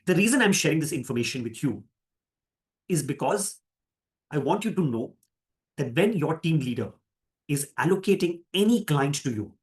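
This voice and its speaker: A man with an Indian accent giving an orientation talk as a company director, speaking deliberately and emphatically, repeating phrases for emphasis. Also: on the high side, big pitch swings. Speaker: managing director leading an orientation. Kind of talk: orientation talk to new franchise partners.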